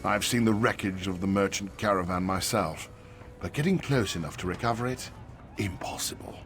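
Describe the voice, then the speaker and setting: A man voicing a weary, cautious old survivor in a fantasy video game, speaking gravely and with doubt. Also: low-pitched, normal speed, fairly bright tone, a little hiss or hum. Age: older. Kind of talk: AI-voiced fantasy game dialogue. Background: game music.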